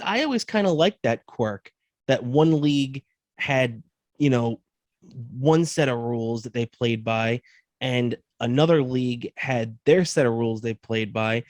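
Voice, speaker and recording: A man's voice: medium pitch, no echo. A man in his thirties co-hosting a sports podcast, talking casually and laying out his opinions. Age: 30s.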